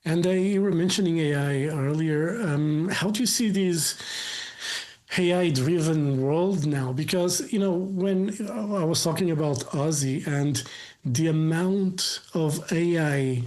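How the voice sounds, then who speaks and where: A man's voice: on the high side, unhurried, a little mellow. A man interviewing a musician in casual chat, rambling and leaning on "you know" fillers.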